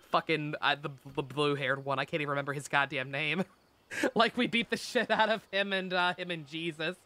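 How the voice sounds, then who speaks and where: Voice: medium pitch, steady tone, moderate pace, pushed and bright. A game streamer chatting casually over gameplay on a live stream, sarcastic, joking and sweary.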